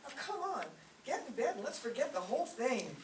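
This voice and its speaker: Woman as a sardonic roommate, animated high voice. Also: a little fast. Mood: exasperated. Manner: dry, wisecracking. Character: sardonic, exasperated, sensible young woman roommate. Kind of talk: old-time radio comedy acting on stage. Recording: echoey stage, distant mic, faint noise.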